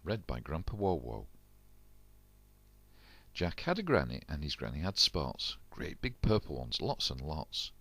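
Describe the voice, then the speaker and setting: Lively older grandpa reading rhymes. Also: male voice, a bass voice, unhurried, gravelly, no echo. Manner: animated, rhythmic rhyming delivery. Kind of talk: storybook reading aloud.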